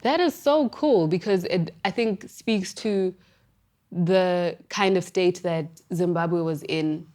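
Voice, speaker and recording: A woman's voice: lowish voice, slow, a little mellow. A woman hosting an interview, asking curious follow-up questions and nodding along with short affirmations. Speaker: interviewer, podcast host. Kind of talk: conversational interview.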